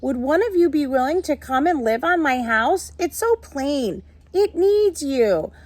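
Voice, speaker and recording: A woman voicing a polite, wistful hermit crab in a storybook, with an earnest, admiring and expressive delivery. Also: high-pitched, measured pace, no room sound, pitched above the performer's own voice. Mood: admiring, hopeful.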